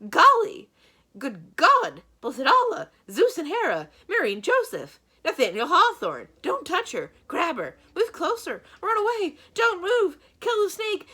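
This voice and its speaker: A woman voicing a panicked, babbling male banker in a storybook read-aloud, shrill and exclamatory. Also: pushed and bright, heavily acted. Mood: frantic panic. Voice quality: shrill, high-pitched. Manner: exclamatory, rapid-fire babbling.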